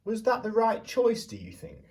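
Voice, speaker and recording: Animated man reading a children's storybook, slightly distant. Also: high-pitched, moderate pace. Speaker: primary school teacher reading aloud. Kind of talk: expressive children's storybook reading with questions to listeners.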